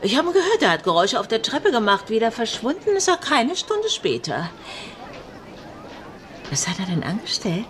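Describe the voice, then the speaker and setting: A woman's voice: on the high side, wildly swooping pitch, normal speed, a little hiss or hum. An actress playing a gossipy, wary old landlady in a radio play, chatty and mocking. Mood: chatty, sly.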